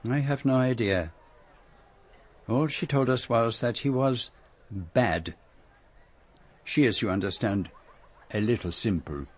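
Courteous Belgian sleuth, French-tinged accent, husky medium-pitched voice, precise formal phrasing, radio drama. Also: male voice, slow, long pauses. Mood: measured, thoughtful. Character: courteous, shrewd Belgian private detective, middle-aged or older.

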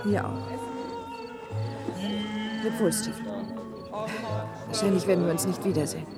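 A woman's voice: medium pitch, a little slow, loud music behind the voice, close to an everyday voice. An actress playing a defensive, anxious married woman in a German radio play, pleading and emphatic. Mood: cool.

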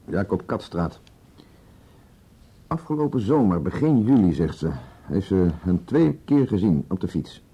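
Deep, husky police commissioner. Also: male voice, very animated, measured pace, warm tone, full character voice. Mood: matter-of-fact. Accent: Netherlands Dutch. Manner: firm, insistent, curt. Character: stubborn, authoritative male police commissioner, short-tempered. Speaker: actor in a radio drama. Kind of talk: naturalistic radio play dialogue. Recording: muffled radio drama recording.

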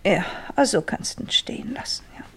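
An actress playing a sick, fretful, morbid wife in a radio drama, husky and breathy, with a Silesian accent. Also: female voice, brisk, a bit bright, natural voice. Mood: weakly affectionate. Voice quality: husky, breaking into breathy whispers. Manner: plaintive, calling out and lamenting. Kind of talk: naturalistic German radio drama acting.

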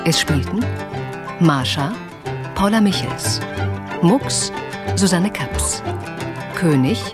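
A woman's voice: lowish voice, expressive, slow pace. A woman reading scripted radio play credits as an announcer. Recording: announcement over prominent plucked guitar music.

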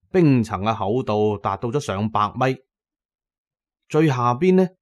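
A man with a Cantonese accent narrating an adventure novel as scripted, dramatic storytelling. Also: on the low side. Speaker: audiobook narrator.

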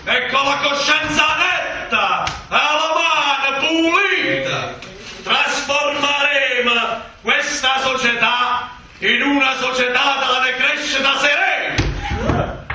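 A man playing a buffoonish small-town politician, declaiming pompously in satirical stage oratory. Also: very high-pitched. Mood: bombastic. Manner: pompous, shouted, drawn-out declamation. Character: bombastic male small-town politician haranguing citizens, buffoonish demagogue. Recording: echoey hall from far off, faint noise.

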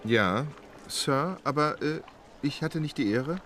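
An actor playing a composed, courteous English gentleman traveller in a German audio drama, speaking formally and precisely. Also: fairly high pitch, expressive, takes it slowly, a little hiss or hum. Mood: composed, polite. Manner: formal, polite, unflappable, precise.

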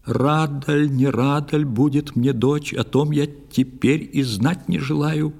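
A man's voice: a little high, fairly even intonation, unhurried, creaky, darkish tone, big-room sound, voice pushed up. A man voicing a stubborn old fisherman father, blunt and insistent, in a storybook audio play. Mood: stern, insistent.

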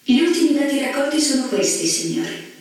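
A woman's voice: high voice, lively intonation, a little fast, darkish tone. A woman voicing a formal, deferential aide reporting to her superior in a stage drama. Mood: formal, dutiful. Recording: distant voice in very echoey space.

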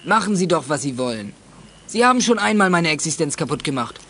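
A man's voice: a little high, sing-song, brisk, sharp tone, exaggerated voice. A young man playing a stubborn, aggrieved troublemaker in a children's radio drama, with an emphatic delivery. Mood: bitter, defiant. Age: young adult. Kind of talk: 1980s German children's radio drama acting.

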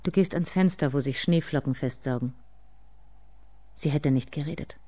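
An actress playing a somber narrator in a literary radio drama, speaking in measured, deliberate phrases. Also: female voice, low voice, mellow.

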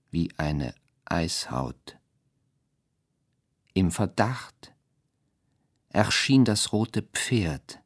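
A man reciting experimental poetry in a radio play, in halting, fragmented, stylised phrases. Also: low-pitched, breathy edge.

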